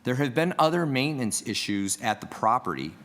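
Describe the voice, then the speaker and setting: A man from heritage planning staff presenting a report at a city board meeting, reading prepared remarks in a measured way. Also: mid-range voice, very animated, a bit bright. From Canada. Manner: measured, reads prepared remarks, asks for next slide. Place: council meeting room.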